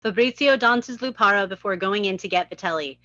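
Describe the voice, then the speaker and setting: A woman's voice: mid-range voice, fairly quick, pushed and bright, dry recording, lo-fi sound. A woman reading scene headings and stage directions as scripted narration at a live table read.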